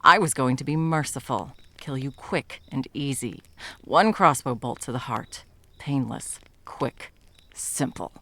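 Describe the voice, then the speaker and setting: A woman's voice: rumbling low, vocal fry, fairly bright tone, exaggerated voice. A woman playing a bitter, sarcastic monster hunter and betrayed lover in a dramatic ASMR roleplay, mocking and foul-mouthed. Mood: furious.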